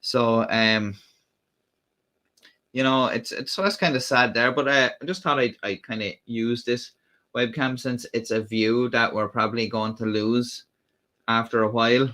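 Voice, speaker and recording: A man's voice: medium pitch, fairly even intonation, measured pace, sharp tone. An Irish man hosting a casual livestream, rambling with lots of fillers and restarts. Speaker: livestream host. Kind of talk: casual live-stream chat.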